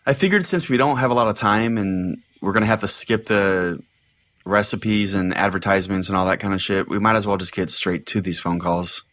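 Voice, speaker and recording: A man's voice: on the low side, fairly quick, a bit bright, lightly acted. A man playing a brash, foul-mouthed pastor, telling a comic story in the first person as a podcast host. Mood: announcing. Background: prominent music.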